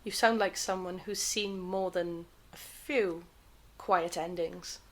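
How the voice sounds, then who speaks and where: A woman playing a composed, wistful 1930s television broadcaster in an audio drama, with a gentle, reflective manner. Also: on the low side, steady tone, takes it slowly. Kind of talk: fan-made audio drama acting.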